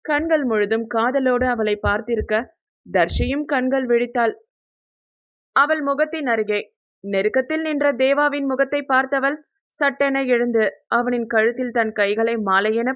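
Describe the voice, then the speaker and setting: A woman with a fairly high voice reads a romance novel aloud. Her narration is scripted and dramatic, and she tells it expressively as an audiobook storyteller. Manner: expressive, acts out the dialogue.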